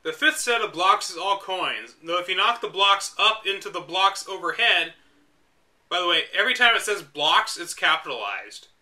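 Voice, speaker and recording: A man's voice: high voice, expressive, moderate pace, pushed and bright. A man reading a game guide aloud and breaking off for wry, exasperated asides. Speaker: reader and commentator. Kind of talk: reading a book aloud with sarcastic commentary.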